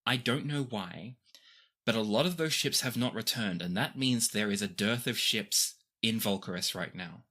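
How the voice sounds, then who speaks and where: A game master voicing a bright-edged, deadpan grouchy helmswoman. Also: medium pitch, normal speed. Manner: deadpan, blunt, clipped. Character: Kara, grouchy blank-faced helmswoman, terse and placid.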